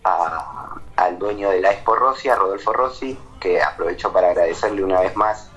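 An Argentine man in his thirties, a painter answering interview questions casually, with hesitant pauses and restarts. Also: sits low, a bit bright, rough recording. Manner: hesitant, many fillers and restarts.

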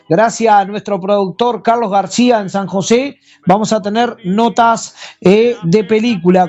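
A woman's voice: on the high side, darkish tone. A woman with a Uruguayan accent hosting a radio show and interviewing a guest, on dull broadcast audio.

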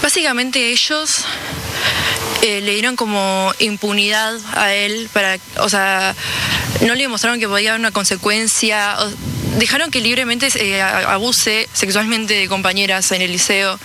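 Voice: mid-range voice, steady tone, normal speed. Hesitant interviewee, traffic behind. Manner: hesitant, many fillers. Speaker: interviewee, former schoolmate.

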